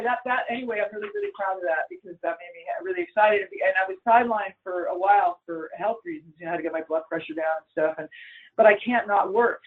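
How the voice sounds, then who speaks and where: A man, a musician, talking casually in an interview, rambling and blunt and swearing freely. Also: high-pitched. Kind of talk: casual interview over the phone. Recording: tinny phone line.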